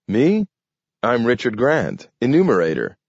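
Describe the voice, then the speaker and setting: A man voicing an easygoing, friendly male census taker who talks in a relaxed way. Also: fairly low pitch, no echo. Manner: relaxed, friendly, quick to grin. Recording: dull lo-fi recording.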